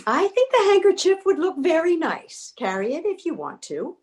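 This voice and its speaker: A woman's voice: very high voice, sing-song, normal speed, darkish tone. A woman playing a bossy, practical older woman in a comic readers theater piece, impatient and scolding but caring underneath. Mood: resigned, softer. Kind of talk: comedic readers theater acting.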